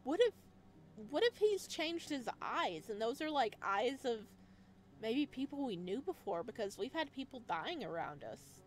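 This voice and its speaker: A woman doing a let's play, reading story text aloud and adding expressive, chatty reactions in a casual style. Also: on the high side, unhurried, a little hiss or hum. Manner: expressive, chatty asides between read passages.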